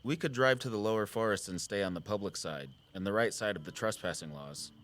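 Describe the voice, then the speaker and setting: A gentle middle-aged nature conservationist in an audio drama, played by a male actor. His voice sits fairly low, and he speaks conversationally, with care and consideration. He comes across as a sentimental, thoughtful dad. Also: fairly quick, faint music behind, lightly acted. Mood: thoughtful, cautious.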